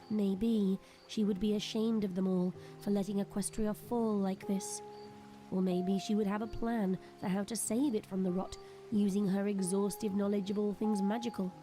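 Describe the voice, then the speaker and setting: A woman's voice: mid-range voice, dark tone. A woman reading scripted narration for an audio drama. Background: faint background music.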